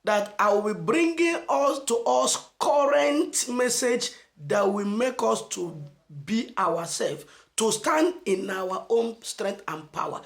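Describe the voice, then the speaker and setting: A Nigerian man giving an emphatic motivational message as a channel host, asking rhetorical questions and stressing phrases slowly. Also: high voice, lively intonation, vocal fry, fairly bright tone, echoey room. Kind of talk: motivational preaching to camera.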